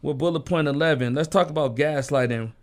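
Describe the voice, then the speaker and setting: A man co-hosting a casual talk show, a bit hoarse from a sore throat, talking in a loose, laid-back way with lots of fillers. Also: on the high side, brisk. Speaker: co-host. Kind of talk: casual podcast chat.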